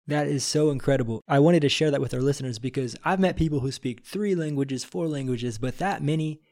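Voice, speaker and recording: A man's voice: medium pitch, fairly quick, a little mellow. Upbeat male podcast host. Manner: enthusiastic, encouraging interviewer. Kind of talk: podcast interview. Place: studio.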